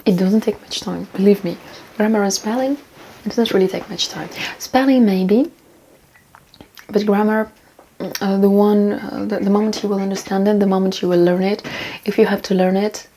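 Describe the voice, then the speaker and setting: Husky, mellow woman, rambling casual talk. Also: mid-range voice. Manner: rambling, repeats phrases for emphasis. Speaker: self-improvement vlogger. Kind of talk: casual talk, giving tips and reading out comments.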